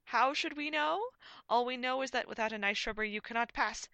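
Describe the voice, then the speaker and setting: A woman voicing a very tall, pompous antlered knight who booms out absurd demands in a comic fantasy story. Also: fairly quick, sharp tone, no echo. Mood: pompous, commanding. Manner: booming, shrill chanting, declamatory.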